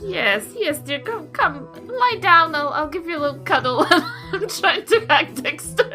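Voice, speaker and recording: A woman's voice: very high voice, expressive, unhurried, sharp tone, soft background music. A woman with a New Zealand accent playing a fussing, grandmotherly character and giggling. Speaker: player.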